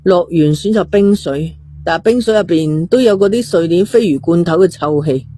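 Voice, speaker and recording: A man's voice: sits high, moderate pace, warm tone. A man with a Hong Kong Cantonese accent narrating a suspense novel in a storytelling style. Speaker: audiobook narrator.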